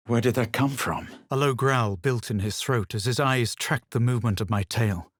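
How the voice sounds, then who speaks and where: An actor playing a self-assured, superior young male adventurer in a video game, speaking in a measured, aloof, dramatic way. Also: mid-range voice, no echo, clean studio sound, natural voice. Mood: measured, grave. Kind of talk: video game character lines and storytelling narration.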